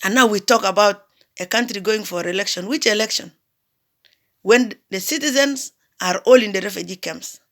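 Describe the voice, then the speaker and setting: A woman's voice: medium pitch, normal speed, fairly bright tone. A woman from South Sudan giving an impassioned political address, emphatic, repeating phrases and asking rhetorical questions. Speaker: diaspora commentator.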